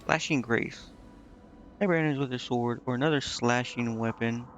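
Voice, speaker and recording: A man's voice: medium pitch, brisk, faint music behind. A man streaming a video game, thinking aloud in casual commentary and muttering indecisively to himself.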